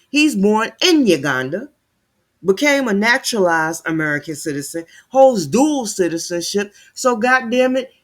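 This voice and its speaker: A man giving heated, sarcastic commentary while reading news aloud, stumbling over words as he reads. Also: sits high.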